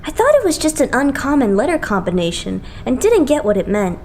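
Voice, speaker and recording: A woman voicing a blunt, excitable, skeptical young idol in an anime fandub. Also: sits high, a little fast, slight background noise. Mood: puzzled. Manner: blunt, expressive. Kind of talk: anime game fandub acting.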